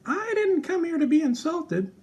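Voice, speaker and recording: High-voiced, touchy operatic pig puppet. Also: male voice, big pitch swings, normal speed, full character voice. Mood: smug, huffy. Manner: melodramatic, self-pitying. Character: touchy, vain operatic pig puppet, proud and easily offended. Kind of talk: children's puppet show dialogue.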